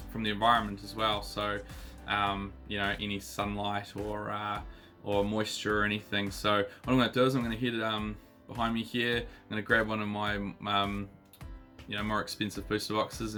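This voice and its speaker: Husky-voiced New Zealand man in his thirties, lowish pitch, casual rambling host, faint guitar underneath. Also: completely flat delivery, normal speed, sharp tone. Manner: chatty, lots of ums and uhs. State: husky, recovering voice. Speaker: card collecting channel host. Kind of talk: casual unboxing chat.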